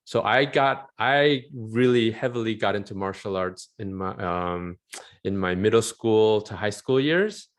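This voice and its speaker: A man in his forties from Southern California, chatting as an interview guest in a rambling, animated way and laughing often. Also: lowish voice, unhurried. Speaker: interview guest, film concept artist. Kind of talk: casual interview chat.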